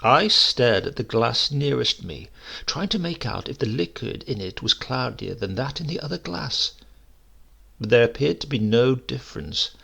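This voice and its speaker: A man reads a short story aloud with a heavily creaky, gravelly voice. The tone is bright and forward, with a husky rasp. His delivery is measured and theatrical, rising and falling in a lively way. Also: mid-range voice. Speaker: story narrator. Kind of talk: dramatic scripted narration of a humorous short story.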